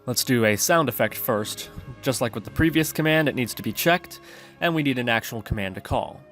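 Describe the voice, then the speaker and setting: A man's voice: medium pitch, animated, a little fast, a bit bright, faint music behind. A man narrating a step-by-step screencast tutorial, explaining his reasoning as he goes. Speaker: programming tutorial host.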